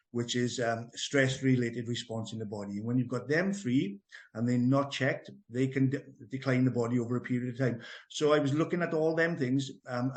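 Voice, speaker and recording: A man's voice: mid-range voice, a little mellow. A mature man with a South Wales accent talks as an interview guest in a mid-range voice. He explains his own story conversationally, rambling along with enthusiasm. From Merthyr Tydfil, Wales. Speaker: interview guest, health business owner and trainer. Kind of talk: conversational personal account.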